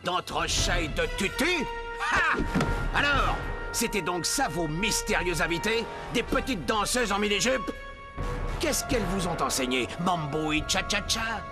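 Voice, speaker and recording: Mocking cartoon bully, high lively voice, music blaring under it. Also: male voice, measured pace. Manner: taunting. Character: mocking, sneering rival of the ninja pupils. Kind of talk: cartoon voice acting.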